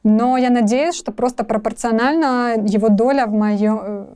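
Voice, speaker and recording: A woman's voice: fairly high pitch, normal speed, warm tone, clear, resonant tone. A young woman from Ukraine, a guest in a conversational interview, speaking thoughtfully with frequent restarts and hesitations.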